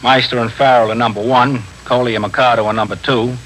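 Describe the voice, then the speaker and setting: An actor playing a deferential desk sergeant in a 1950s radio police drama, clipped and matter-of-fact. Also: male voice, mid-range voice, fairly even intonation, fast talker, a bit bright, close to an everyday voice. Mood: dutiful, brisk. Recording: faintly noisy 1950s radio broadcast.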